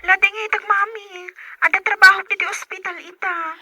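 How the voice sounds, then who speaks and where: Young Ilocano daughter in radio serial: very bright, dry, earnest, wounded. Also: female voice, very high voice, steady tone, moderate pace, dry recording, full character voice. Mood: sad, tender. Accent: Filipino Ilocano. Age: young adult. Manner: plaintive, earnest. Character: hurt grown daughter, working, devoted to mother. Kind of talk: radio serial drama acting.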